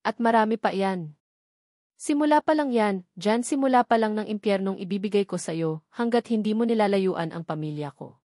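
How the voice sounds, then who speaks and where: A woman's voice: medium pitch, normal speed, warm tone, dry recording, studio quality, natural voice. A woman voicing a spoiled, bitter young wife, haughty and taunting, in an audio drama. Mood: defiant.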